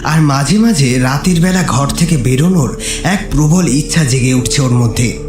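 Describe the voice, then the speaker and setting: A man's voice: mid-range voice, normal speed. A man narrating a scripted horror story in a dramatic style. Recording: echoey, with music underneath. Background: music.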